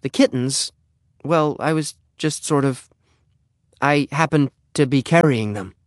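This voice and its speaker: A man's voice: a little high, unhurried, dry recording, clean studio sound, pitched above the performer's own voice, lightly acted. A man voicing an earnest, eager young schoolboy trying to sound businesslike in a storybook reading. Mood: flustered. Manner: hesitant, flustered salesmanship.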